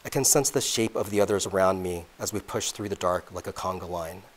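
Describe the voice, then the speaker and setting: A man reads from his own novel at a public event. His voice is very deep, and he takes the prose at a measured, deliberate pace. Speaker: author reading his novel. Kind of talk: reading aloud from a novel.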